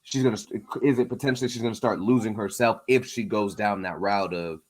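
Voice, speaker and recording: Informal man with a low voice. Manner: informal, thinks out loud, halting. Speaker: host of a comics breakdown show. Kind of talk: casual interview chat.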